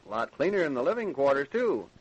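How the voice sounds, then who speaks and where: An actor playing a skeptical federal investigator in a radio drama, authoritative and probing. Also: male voice, medium pitch, very animated, fast talker, slightly rough, lo-fi sound. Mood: stern, skeptical. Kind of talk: old-time radio drama acting.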